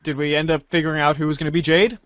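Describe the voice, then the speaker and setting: A man's voice: fairly high pitch, flat delivery, racing, a bit bright, dry recording, muffled recording. A man hosting a podcast and narrating a read-aloud in a jovial, theatrical way.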